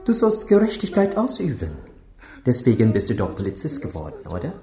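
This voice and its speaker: A man playing a menacing, smooth crime boss in a radio drama, patronizing like a big brother. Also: mid-range voice, wildly swooping pitch, brisk, warm tone. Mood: quietly menacing. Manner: taunting, patronizing. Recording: noisy vehicle and outdoor ambience.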